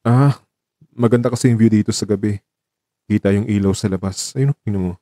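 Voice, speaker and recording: Man playing guarded weary boyfriend, low mellow voice. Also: fairly quick, no echo, studio quality, natural voice. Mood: evasive, light. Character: guarded, weary young man in love, Luke. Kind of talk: Tagalog romantic radio drama dialogue.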